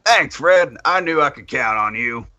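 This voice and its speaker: A man's voice: mid-range voice, lively intonation, a little slow, sharp tone, no echo. A man voicing hearty, hammy bit characters, exclaiming his way through a comic fandub. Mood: brisk, businesslike. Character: hearty carriage traveler and brisk freight boss.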